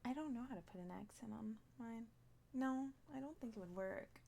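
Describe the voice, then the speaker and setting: A woman's voice: on the high side, normal speed, vocal fry, warm tone, a little hiss or hum. A woman talking in character during a roleplay, playful and slipping into Spanish phrases. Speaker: roleplay player.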